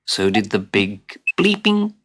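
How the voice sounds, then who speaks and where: A man narrating a scripted children's story as a dramatic, expressive storyteller who drops into hushed asides. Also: lowish voice, measured pace. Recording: hissy old cassette tape.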